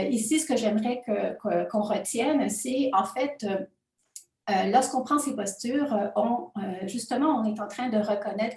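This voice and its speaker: A woman whose first language is not French gives an academic conference talk from her home office, pausing often with filler sounds. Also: on the high side, a little mellow. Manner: hesitant, frequent filler sounds. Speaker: conference presenter. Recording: roomy distant home recording.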